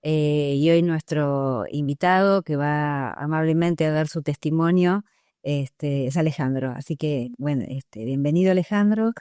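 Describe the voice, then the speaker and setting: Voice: medium pitch, a little slow, dark tone, smooth, no echo, studio quality. An interviewer with an Argentine accent, opening the talk and asking questions in a friendly, conversational manner.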